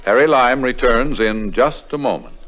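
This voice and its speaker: A man's voice: mid-range voice, normal speed. A man announcing a 1950s radio drama in period broadcast style, on a vintage recording. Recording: muffled vintage radio with loud music. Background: loud plucked-string music.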